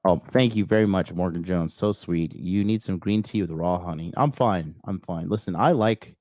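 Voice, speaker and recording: A man hosting a livestream, reading an article aloud and answering the chat in a blunt, crude way, with a raspy, slightly nasal voice. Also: sits low, moderate pace, darkish tone, no echo, clean studio sound. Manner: blunt, crude, talks back to live chat. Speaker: podcast host.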